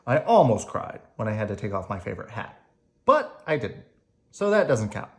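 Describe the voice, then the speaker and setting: An adult reading a picture book aloud to children in an expressive storytelling style. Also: mid-range voice, normal speed.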